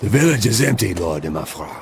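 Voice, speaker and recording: An actor playing a callous, gruff raider henchman in a game, contemptuous yet dutiful to his lord. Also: on the low side, sing-song, a little fast, background noise.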